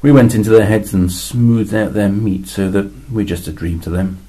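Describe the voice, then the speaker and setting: A man's voice: on the low side, steady tone, normal speed, mellow, close to an everyday voice. A man voicing a weary male alien envoy, explaining in a dry, exasperated way with sudden emphatic rises in a two-voice science fiction story reading.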